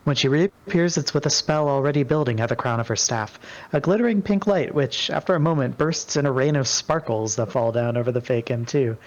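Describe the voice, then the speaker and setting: A man's voice: medium pitch, a little fast. A man reading scripted fantasy narration as a player in a group story. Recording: dull, lo-fi microphone sound.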